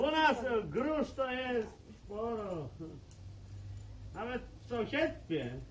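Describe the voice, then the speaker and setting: A playful rustic cart driver in a radio play, very high in pitch and unhurried. He drawls his jokes over clear outdoor noise and horse hooves. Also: big pitch swings, a bit bright, far from the mic, exaggerated voice. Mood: teasing. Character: joking village cart driver Edek, male. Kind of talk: Polish radio drama acting.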